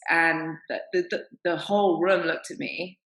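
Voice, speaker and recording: A woman's voice: on the low side, steady tone, normal speed, fairly bright tone, roomy sound, rough recording. A woman panelist telling candid stories in a panel discussion, often laughing as she talks. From England.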